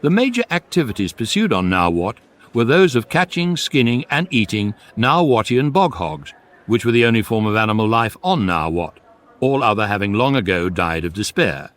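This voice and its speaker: An actor playing a wry, deadpan British narrator of a space guide, delivering measured scripted comic narration for radio. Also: male voice, lowish voice.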